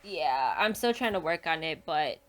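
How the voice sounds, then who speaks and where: A woman's voice: low-pitched, expressive, moderate pace. A woman speaking as a podcast guest in a casual book chat, informal and chatty, laughing readily.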